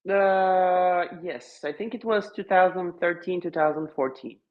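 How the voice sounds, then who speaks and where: A man from Bulgaria with a Bulgarian accent, talking casually as a podcast guest with thoughtful, halting phrasing in his second language. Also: high voice, lo-fi sound. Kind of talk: casual conversation.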